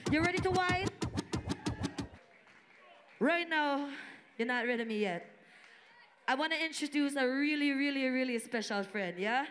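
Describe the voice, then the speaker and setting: A woman from Singapore, an opening MC and rapper, hyping a live crowd on a club stage through a handheld mic. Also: high voice. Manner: energetic, call-and-response with the audience. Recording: live concert PA with loud music. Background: loud music.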